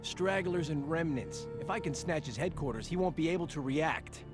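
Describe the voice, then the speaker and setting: An actor playing a confident, decisive American colonel in a war video game, speaking bluntly. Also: male voice, fairly high pitch, normal speed, natural voice. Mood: determined. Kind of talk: video game cutscene dialogue acting. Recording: music behind the dialogue.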